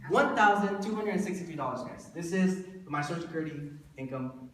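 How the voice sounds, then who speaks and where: Man, 30, motivational speaker, conversational and questioning, somewhat high voice, heavy room echo. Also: animated, fairly quick, fairly bright tone. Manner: conversational, rhetorical questions to listeners, repeats for emphasis. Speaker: motivational presenter pitching a business plan. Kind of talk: motivational presentation to an audience. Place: indoor room before an audience. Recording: echoey room, distant mic, faint noise.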